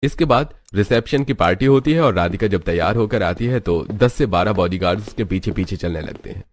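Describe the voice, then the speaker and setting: A man's voice: fairly low pitch, expressive, fairly quick, no room sound. A man narrating a scripted moral story as a storyteller.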